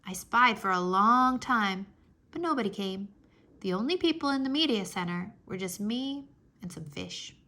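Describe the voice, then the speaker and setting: A woman reading a children's storybook aloud as narrator, expressively, with animated, childlike first-person storytelling. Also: medium pitch, normal speed.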